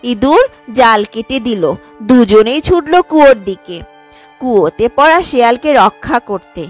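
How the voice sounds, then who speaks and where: A woman's voice: fairly high pitch, lively intonation, normal speed, soft background music. A woman narrator reading a scripted animal fable for a cartoon.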